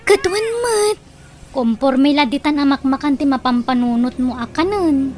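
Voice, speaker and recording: A woman's voice: sits high, animated, normal speed, a little mellow, a little hiss or hum. A woman playing a frank, teasing friend of a wife in a comedic radio drama.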